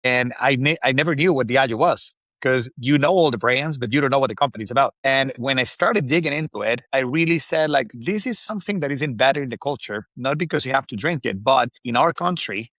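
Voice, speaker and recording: A middle-aged man with a Venezuelan accent and Spanish as his first language speaks as an interview guest. His mid-pitched voice is recorded dry, with little room sound, and he talks in a conversational, storytelling way. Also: fairly bright tone, dry recording. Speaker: interview guest, spirits industry executive.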